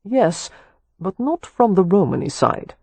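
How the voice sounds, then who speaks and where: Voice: medium pitch, sing-song, a little slow, dry recording, voice pushed up. A man voicing a casual, wry younger brother who is polite yet defiant, in audiobook dialogue. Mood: apologetic, tense. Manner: soft-spoken, casual, wry.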